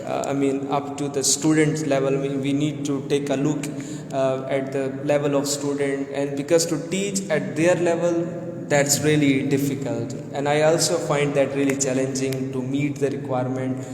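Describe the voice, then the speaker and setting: Male teacher from pakistan, pretty flat monotone, lots of uh and like, echoey room with a bit of hiss. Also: medium pitch, moderate pace. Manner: earnest, hesitant, frequent "uh" and "like" fillers. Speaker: English teacher. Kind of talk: live video discussion.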